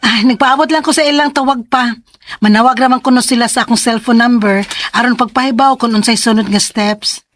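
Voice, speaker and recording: A woman's voice: fairly high pitch, steady tone, a little fast, fairly bright tone, lightly acted. An actress in her twenties with a Cebuano Filipino accent, playing a hopeful, trusting young mother in a radio drama. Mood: expectant. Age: 20s.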